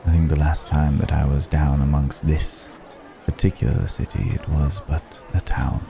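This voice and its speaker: A man's voice: a bass voice, flat delivery, moderate pace, vocal fry, noisy background. A man playing a wry, curious ancient dragon passing as a stranger, musing in formal, archaic phrasing in an ASMR roleplay. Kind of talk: ASMR roleplay audio drama.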